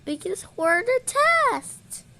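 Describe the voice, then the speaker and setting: A woman improvising playful puppet show dialogue in an excitable, exclamatory way. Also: very high-pitched, slow pace, vocal fry, noisy background.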